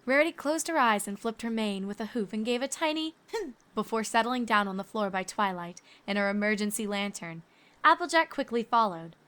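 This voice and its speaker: A woman's voice: mid-range voice, animated, a little fast, a bit bright. A woman reading scripted story narration as the narrator.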